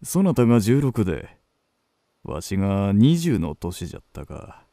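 An actor playing an intense old-time kabuki star confessing forbidden love in a period radio drama, with archaic, theatrical phrasing. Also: male voice, lowish voice, sing-song, warm tone, no room sound, studio quality. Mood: ardent confession. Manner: archaic, theatrical, drawn-out delivery.

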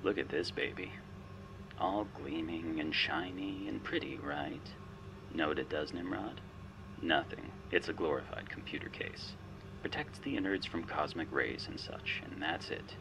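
Man playing a deep, gravelly, smug machine mastermind. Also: lively intonation, unhurried, noisy background, exaggerated voice. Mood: playful, boastful. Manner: wry, teasing, theatrical, often dropping to a whisper. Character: smug, menacing, playful artificial intelligence guiding a spy. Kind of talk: ASMR roleplay monologue.

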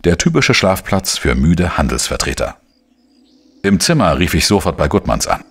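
Low-voiced, wry investigative journalist for crime audio drama; laconic yet expressively inflected. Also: male voice, big pitch swings, normal speed, creaky, husky, full character voice. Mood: brooding narration. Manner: sardonic, laconic. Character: dry, defiant male investigative journalist, narrating his case. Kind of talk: radio play dialogue and first-person narration.

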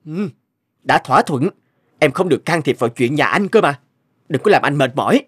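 A man's voice: on the high side, lively intonation, moderate pace, breathy edge, dry recording, clean studio sound. A man voicing an unfaithful young husband in a cartoon, weary and dismissive. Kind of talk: cartoon dubbing.

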